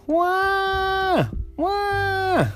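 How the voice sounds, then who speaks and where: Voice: mid-range voice, big pitch swings, a little slow, gravelly, faint music behind, pitched above the performer's own voice. A storyteller voicing an anxious, stubborn little schoolboy in a whiny, protesting way for a children's story. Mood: wailing. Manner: wailing, protesting, emphatic.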